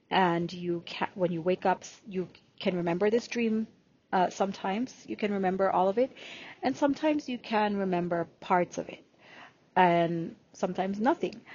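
A woman in her forties, born in Jamaica, speaks with a slight Jamaican accent and an unhurried podcast delivery. She takes her time, drawing out words as she lists things, at a very slow pace. The recording sounds dull and lo-fi. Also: fairly low pitch, darkish tone, slightly rough. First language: Jamaican Patois. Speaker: podcast host and spiritual healer. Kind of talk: unscripted podcast monologue.

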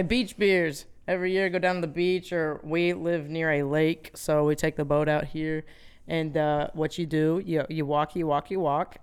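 A woman's voice: low voice, darkish tone, clean tone. A woman co-hosting a podcast in casual comic chat, telling rambling stories in slangy, joking language. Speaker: podcast co-host.

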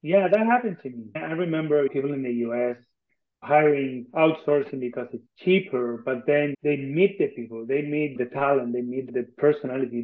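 A man from Argentina with an Argentine accent speaks English as a second language, conversationally and earnestly as a podcast guest, often repeating phrases for emphasis. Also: mid-range voice. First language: Spanish. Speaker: interview guest, tech company co-founder. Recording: thin phone-like online call audio.

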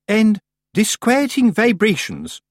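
A haughty witch of a certain standing, acted out by a man reading a storybook. The voice is pitched well up and swings widely, with affected, pompous courtesy in every phrase. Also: wildly swooping pitch, takes it slowly, no echo, studio quality, full character voice. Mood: pompous, grave. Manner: over-polite, affected, pompous. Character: haughty, primly formal middle-aged female witch.

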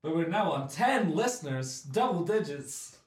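A young man in his twenties hosting a comic chat show, loud and rambling, with a deep carrying voice that is a bit phlegmy. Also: animated, unhurried. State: phlegmy, clearing throat. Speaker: radio show host. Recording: echoey studio room.

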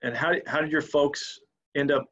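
A man's voice: a little high, steady tone, normal speed, a bit bright, no echo, muffled recording. A man hosting an interview podcast, eager and stumbling over restarts as he asks questions. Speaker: podcast host.

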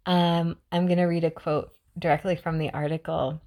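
A young adult woman hosting a mindfulness podcast, talking in a chatty, reflective way with many restarts and now and then dropping to a soft whisper. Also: low voice, level tone, moderate pace. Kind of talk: casual reflective monologue.

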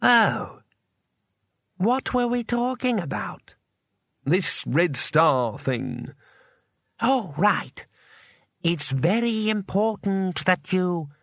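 An ancient spell from a fantasy storybook speaks out of nowhere , acted by a male narrator. The voice rustles like paper and draws its words out slowly, raised a little in pitch. It sounds pompous yet easily muddled, in a dry recording. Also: sing-song, creaky, a bit bright, no echo, exaggerated voice.

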